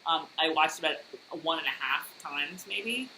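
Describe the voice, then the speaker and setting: Bright-voiced chatty woman. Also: sits low, lively intonation, moderate pace, a little hiss or hum, poor audio. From United States. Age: adult, worked in high school and college years ago. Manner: chatty, laughs often, many fillers. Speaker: podcast co-host. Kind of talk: casual recap chat.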